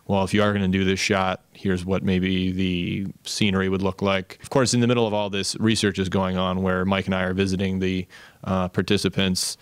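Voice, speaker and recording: Low, even-toned man explaining his craft. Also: smooth, dry recording. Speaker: animator and filmmaker interviewee. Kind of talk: interview explanation.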